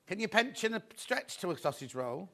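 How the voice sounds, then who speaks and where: Guy hosting a shopping channel, a bit croaky, highish voice, chatters nonstop. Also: very animated, moderate pace, a bit bright. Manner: chatty, rambling sales patter. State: slightly croaky voice. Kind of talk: live TV sales chat.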